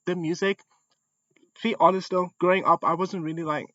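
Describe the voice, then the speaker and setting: A man's voice: high-pitched, steady tone, a little fast, sharp tone, no room sound. A young man from Congo, a self-described broke international student, talking in a casual, frank way. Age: young adult. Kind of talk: interview testimony. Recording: dull, dry lo-fi audio.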